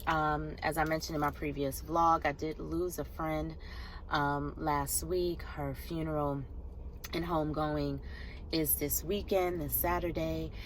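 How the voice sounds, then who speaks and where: A middle-aged woman chatting to her viewers in a car, congested and hoarse from a cold, talking in an upbeat, easygoing way. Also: low voice, level tone, unhurried, a little hiss or hum, rough recording. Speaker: vlogger. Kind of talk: casual vlog chat to camera.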